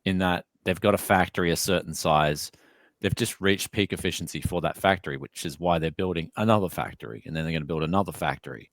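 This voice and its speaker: A man's voice: deep voice, fast, fairly bright tone, no echo. A man in his thirties from Australia, a tech YouTuber guest chatting casually on a video call from his home studio, loose and rambling, calling people "man" and "dude".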